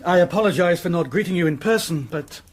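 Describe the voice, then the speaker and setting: An actor playing a courteous, worried husband in a radio drama, formal and earnest, with a husky voice. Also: male voice, high voice, fast talker. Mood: anxious.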